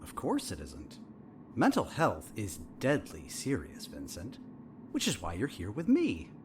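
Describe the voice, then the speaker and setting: A man's voice: on the low side, huge pitch swings, measured pace, background noise. Smug male therapist played by a man, arch and evasive, hugely varied intonation, audio drama. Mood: smug, wry. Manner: arch, wryly formal.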